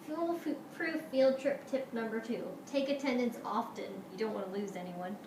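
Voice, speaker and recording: A woman teacher doing an expressive picture-book read-aloud for her pupils. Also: on the high side, normal speed, recorded from a distance. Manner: expressive, animated storytelling. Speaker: schoolteacher reading to pupils. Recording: roomy, echoey, noisy home-style recording.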